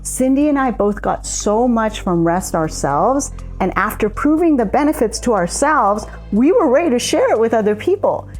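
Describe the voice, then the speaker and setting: Woman, co-founder, measured explainer, fairly high voice, soft music behind. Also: animated. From immigrant. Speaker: co-founder of a coaching method. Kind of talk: scripted promotional conversation.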